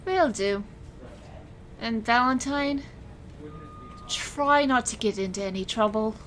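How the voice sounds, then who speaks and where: A woman playing a weary but resolute illusionist in an audio drama, speaking in a deliberate, wry and dramatic way. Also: on the high side, noisy background. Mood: sincere, grateful. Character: weary, resolute female illusionist and supernatural enforcer. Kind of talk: dramatic audio fiction acting.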